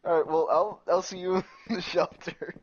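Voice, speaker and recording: A man chatting casually on a game stream through a gaming headset. He talks in a growly, monotone way and rambles with plenty of swearing. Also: high voice, normal speed, lo-fi sound. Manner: rambling, profane, laughs often. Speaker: streamer's friend.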